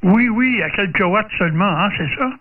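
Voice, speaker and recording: A man's voice: high-pitched, lively intonation, moderate pace, noisy background. A man with a Quebec French accent chatting casually as he runs an amateur radio contact, hesitant and rambling, often repeating himself. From Quebec, Canada. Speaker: amateur radio operator running a group contact. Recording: muffled distant lo-fi radio audio.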